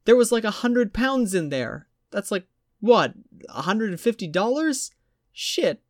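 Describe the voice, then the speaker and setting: A man's voice: high-pitched, sing-song, a little slow, dry recording, clean studio sound, voice pushed up. A man doing a panicky young woman. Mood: panicked. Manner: panicky, swearing.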